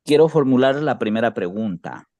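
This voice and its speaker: A man with an Ecuadorian accent speaking formally and deliberately, with pauses, on an online group call. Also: mid-range voice, animated, warm tone, dry recording. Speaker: team leader asking questions.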